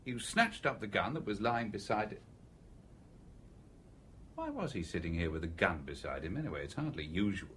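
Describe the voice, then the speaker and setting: An actor with a British accent playing an inquisitive, composed engineer in a radio drama, urbane and conversational. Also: male voice, fairly low pitch, very animated, normal speed, long pauses, fairly bright tone, a little hiss or hum. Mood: probing. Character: inquisitive, coolly composed stranger, a male engineer.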